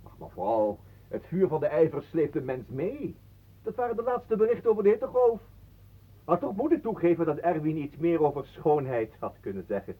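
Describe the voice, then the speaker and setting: A tipsy, theatrical wanderer in a radio play, courteous to the point of mockery. His highish, husky voice swoops up and down with florid relish, on a muffled old recording. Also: lively intonation, moderate pace. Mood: florid, teasing. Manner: florid, theatrical, mock-polite. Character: talkative, mock-courteous male wanderer, tipsy and absurdly philosophical. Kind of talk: absurdist radio play acting.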